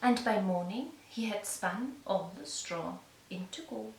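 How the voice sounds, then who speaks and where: A woman storyteller narrating a fairy tale for young children with measured, deliberate phrasing. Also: mid-range voice, expressive. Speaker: storyteller and puppeteer. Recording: echoey room, distant mic, faint noise.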